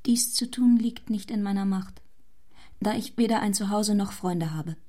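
A woman acts a poor but proud young governess in a radio drama. Her medium-pitched voice is composed and formal, holding back behind careful, independent reserve. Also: normal speed, mellow, natural voice. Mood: guarded, proud. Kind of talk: German radio play acting.

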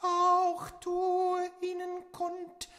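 A man's voice: very high-pitched, monotone, extremely slow, pushed and bright, heavily acted. An actor in a German radio play playing a crude, bossy man who acts as mock-solemn officiant, in a theatrical, declamatory way. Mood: solemn, imploring.

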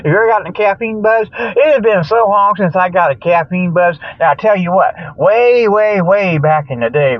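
High-pitched folksy older man, dull radio sound. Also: normal speed, few pauses, no room sound. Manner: folksy, jokey, chuckles at own jokes, loses his train of thought. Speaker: host of a comic radio show. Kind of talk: rambling tongue-in-cheek radio monologue with mock sponsor plugs.

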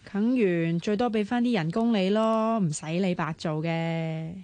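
A cheeky young single woman with a Hong Kong Cantonese accent played by an actress in a radio drama. She has a medium-pitched voice in a dry studio sound, and she teases, wheedles and bosses people around with a sharp tongue. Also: measured pace, dry recording, close to an everyday voice. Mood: wheedling. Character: cheeky, bossy, playful young single woman, tight with money.

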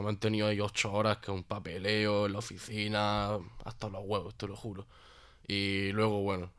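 A man's voice: fairly low pitch, a bit bright, smooth, natural voice. A man with a Spain accent acting a harried, fed-up cheating boyfriend in a naturalistic audio drama, blunt, sweary and repetitive. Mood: worn out. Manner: agitated, repetitive, colloquial and blunt.

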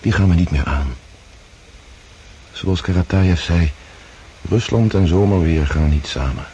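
An actor playing a gentle, reflective Russian count held captive, earnest and contemplative, in a radio drama. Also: male voice, low voice, measured pace, highs cut off, slight background noise. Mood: serene, resolute.

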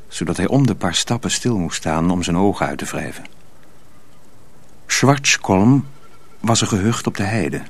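A man narrating a radio drama as a scripted storyteller. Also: low-pitched.

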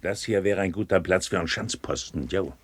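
A man playing a wary, stubborn, plain-spoken seaman in a radio play, with a dry, folksy manner and a husky voice. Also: sits low, fairly quick, no echo. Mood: stubborn, cautious. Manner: dry, folksy, says "jo" and "nee". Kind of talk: German radio play acting.

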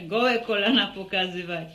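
An actress playing a scolding, sharp-tongued village mother in a radio drama, nagging and teasing her grown son. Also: mid-range voice, unhurried. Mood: scolding, mocking. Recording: echoey room with background noise.

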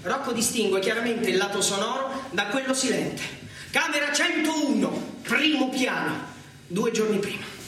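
A man's voice: very high-pitched, expressive, a bit bright, slight background noise. Very echoey man narrating a theatre monologue. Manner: declamatory, measured, dramatic pauses. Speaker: solo stage actor narrating. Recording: very echoey theatre recording, distant, with music. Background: music.

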